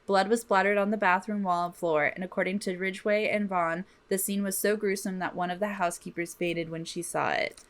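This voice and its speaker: A woman's voice: mid-range voice, steady tone, a little fast, hardly any pauses. Casual, slangy woman podcaster. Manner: informal, slangy, swears freely. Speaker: podcast co-host. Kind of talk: casual true crime storytelling from notes.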